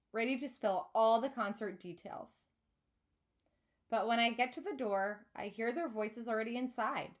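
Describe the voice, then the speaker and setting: Animated, high-voiced woman reading a story aloud. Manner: expressive, dramatised. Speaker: teacher reading a book aloud. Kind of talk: expressive children's audiobook narration.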